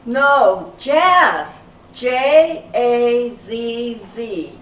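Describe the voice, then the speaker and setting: A woman's voice: a little high, expressive, drawn-out words, fairly bright tone, full character voice. A woman playing an eager, easily flustered group leader in amateur readers theater, speaking earnestly. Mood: flustered, insistent. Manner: earnest, presenting. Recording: echoey room from far off, faint noise.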